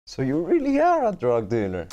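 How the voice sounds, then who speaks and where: A man's voice: medium pitch, wildly swooping pitch, moderate pace, dark tone, lo-fi sound. A man playing a curious, impish young man in a drama table read.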